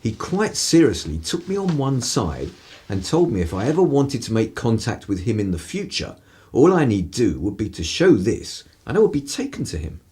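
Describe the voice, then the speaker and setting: An actor playing a cynical, irreverent 1930s English broadcaster in a radio play, with a husky voice and sardonic, theatrical turns of phrase. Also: male voice, medium pitch, big pitch swings, moderate pace, dark tone. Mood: sly, confiding.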